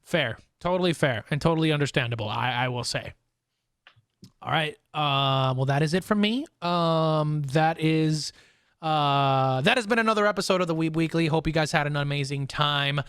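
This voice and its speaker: A man's voice: on the high side, moderate pace, fairly bright tone, clear, resonant tone, no room sound, clean studio sound. A man hosting a podcast in a casual, chatty style. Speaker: podcast host.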